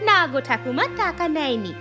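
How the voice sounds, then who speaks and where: A woman's voice: high voice, very animated, fairly quick, muffled recording. A woman voicing a frank, thrifty village neighbour in a cartoon story, with an emphatic, gossipy manner. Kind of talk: Bengali moral-story cartoon dialogue. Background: background music.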